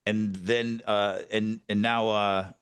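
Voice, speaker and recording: A man's voice: lowish voice, little pitch movement, slow, fairly bright tone, clean tone. A man co-hosting a podcast, teasing his partner with joking banter. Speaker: podcast co-host. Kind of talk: casual podcast chat.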